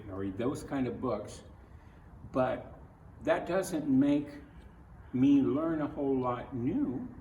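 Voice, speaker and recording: A man reading a picture book aloud to children, speaking in simple, deliberate phrases and putting questions to the listener. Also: mid-range voice, a little mellow. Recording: roomy, distant mic, clearly noisy.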